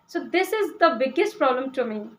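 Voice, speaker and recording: A young woman from Uttar Pradesh speaks English with a Hindi accent in a fairly high voice. She talks to her viewers in a hesitant, explanatory way, full of fillers and restarts, often checking in with a quick "okay?". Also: sing-song. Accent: Indian (Hindi speaker). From India (Uttar Pradesh). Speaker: English-learning vlogger. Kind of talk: casual explanatory talk to camera.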